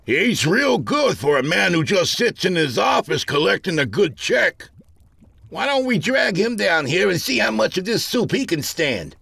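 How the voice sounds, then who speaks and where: A man voicing an angry old-timer factory worker, coarse, loud and jeering, in a story reading. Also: high voice, very animated, normal speed, creaky, husky, no room sound, pitched above the performer's own voice, heavily acted.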